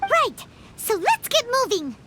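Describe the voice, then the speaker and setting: Squeaky, very high-pitched tiny companion. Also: female voice, huge pitch swings, measured pace, very noisy, full character voice. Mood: eager. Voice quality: squeaky, childlike. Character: tiny childlike floating companion, curious and excitable. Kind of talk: video game voice acting.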